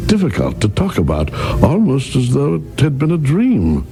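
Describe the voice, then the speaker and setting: A man's voice: mid-range voice, wildly swooping pitch, normal speed. A man narrating a story for a radio drama in a scripted storybook style. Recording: radio broadcast recording. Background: music.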